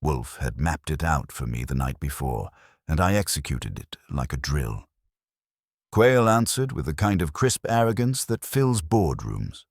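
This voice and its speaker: A man narrating a first-person detective story in a measured, dramatic storytelling delivery. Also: extremely low pitch, big pitch swings, slightly rough, no echo, studio quality. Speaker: audiobook narrator.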